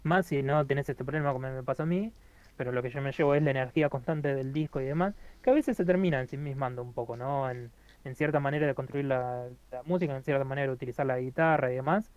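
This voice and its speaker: A man, co-hosting a casual album review, talking haltingly with many fillers and restarts. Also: medium pitch, a little mellow, no echo, highs cut off. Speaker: podcast co-host reviewing an album.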